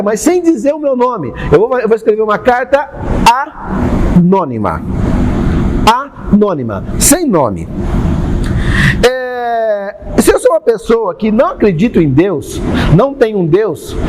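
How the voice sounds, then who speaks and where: A man's voice: sits high, background noise. A man with a Brazilian Portuguese accent giving an online history lecture, asking questions and answering them himself with many fillers. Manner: drawn-out stressed words, many fillers.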